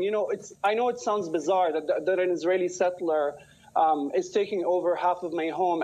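A man's voice: high voice, a little mellow, slight background noise. A man in his twenties from Palestine, speaking articulately and emphatically as a news interview guest over a video call. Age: 20s. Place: small room at home, indoors. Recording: dull video-call audio.

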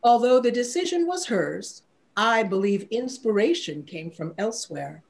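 An older woman reciting poetry in a measured, declamatory manner. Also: fairly high pitch, sing-song, vocal fry, a little mellow. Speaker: poetry reader.

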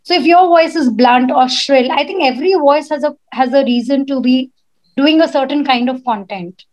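A woman with a husky voice, a guest speaker giving confident, chatty advice on a video call. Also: sits high, normal speed, darkish tone. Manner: confident, chatty, says "like" often. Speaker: guest speaker, podcast producer. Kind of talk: casual advice talk. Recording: dull lo-fi video call audio.

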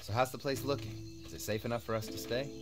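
A man's voice: medium pitch, fairly even intonation, fast talker, natural voice. An actor playing an edgy, loyal, talkative man in a zombie apocalypse game, with casual, candid delivery. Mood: casual, probing. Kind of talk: video game voice acting, dramatic dialogue. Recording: game music bed behind voice. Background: music.

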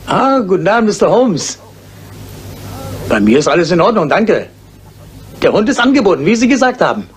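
An obliging, nervous judge in a radio crime drama. The voice is high and very lively in pitch, over faint noise. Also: male voice, moderate pace, pauses often. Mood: polite, nervous. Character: anxious, obliging judge on the telephone. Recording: older radio play with background noise.

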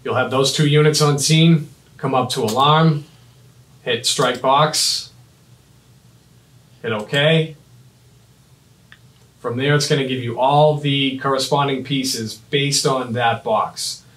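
Man in his thirties, roomy echo, mic at a distance, mid-pitched, procedural trainer demonstrating software. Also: fairly even intonation, slow pace, pauses often. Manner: instructional, procedural, short clipped phrases. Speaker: fire dispatch trainer. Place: small indoor office at a computer desk.

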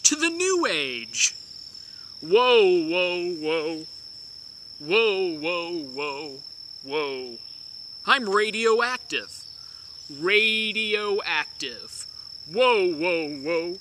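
Guy reading super slow and dramatic outside, high bright voice, crickets faint in back. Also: slight background noise. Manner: slow, grandly theatrical, overly emphatic. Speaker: dramatic reader. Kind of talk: mock-dramatic reading of song lyrics. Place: outdoors by green woods.

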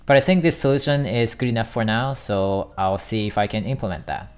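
A man's voice: fairly low pitch, fairly quick, smooth. A young man from Japan talking casually as he vlogs, thinking aloud with many fillers. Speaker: YouTube developer vlogger. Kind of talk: casual vlog narration. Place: home desk.

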